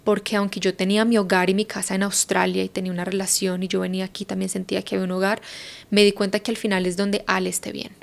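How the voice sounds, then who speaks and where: Colombian woman, 30s, guest; relaxed reflective talk with gravelly fry, filler-heavy. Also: mid-range voice, fast. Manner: reflective, thinking aloud with many fillers. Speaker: podcast guest. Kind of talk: casual conversation.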